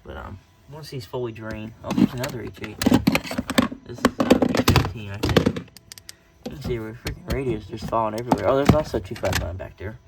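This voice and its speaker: A man's voice: fairly low pitch, fairly quick, vocal fry. Rambling American man, noisy home recording. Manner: rambling, hesitant, self-correcting. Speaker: radio collector showing off collection. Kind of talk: casual, unscripted show-and-tell. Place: indoors at home.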